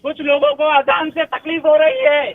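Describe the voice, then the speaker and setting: A man with an Indian accent delivers a fiery speech in a very high, strained-up pitch. The recording is muffled and lo-fi. He hammers his phrases defiantly, repeating them for emphasis. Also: little pitch movement, moderate pace, dry recording. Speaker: organisation leader addressing supporters.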